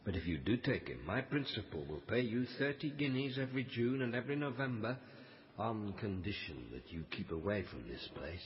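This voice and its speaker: An actor playing a callous, menacing stranger, a heartless villain's agent in a period radio drama. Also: male voice, on the low side, very animated, brisk, lo-fi sound, slight background noise. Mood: cold, callous.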